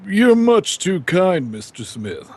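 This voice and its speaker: A man acting a courteous, sickly elderly psychic investigator with weak lungs in an old-time radio drama, speaking haltingly in a husky voice. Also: high voice, very animated, full character voice. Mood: courteous, flustered. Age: older. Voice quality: husky, wheezy with coughing. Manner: halting, polite, deliberate.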